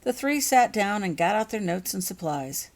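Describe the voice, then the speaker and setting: A woman's voice: mid-range voice, sing-song, normal speed. A woman reading her own fantasy fiction aloud as a scripted audiobook narration.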